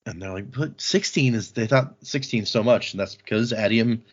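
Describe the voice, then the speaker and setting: A man's voice: on the low side, normal speed, breathy edge, lo-fi sound. A man hosting a podcast, recapping a book in a casual, informal way. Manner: informal, acts out quoted dialogue briefly. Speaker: podcast host.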